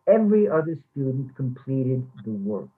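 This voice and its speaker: A man's voice: medium pitch, very animated, moderate pace, natural voice. A man reading the part of a formal, defensive former English instructor in a play. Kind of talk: readers theatre play reading. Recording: thin, phone-like sound.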